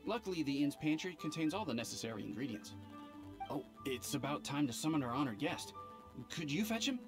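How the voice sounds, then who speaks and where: An actor playing a helpful, courteous innkeeper in a video game, speaking in a polite and obliging way. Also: male voice, a little high, very animated, brisk. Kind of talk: video game cutscene acting. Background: background music.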